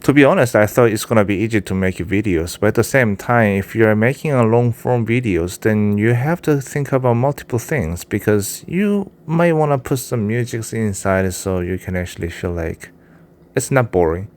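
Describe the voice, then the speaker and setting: A man's voice: lowish voice, moderate pace. A man with a Korean accent, a writer who speaks English as a second language, talking candidly to his cat in a rambling, casual vlog monologue. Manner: rambling, candid, frequent fillers and restarts. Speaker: vlogger and self-published writer.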